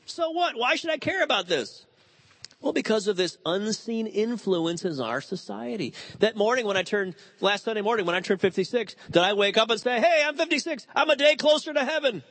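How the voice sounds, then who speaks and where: A man in his fifties preaching a sermon, animated and telling stories with quoted voices. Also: sits high, fairly quick. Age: 50s. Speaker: pastor.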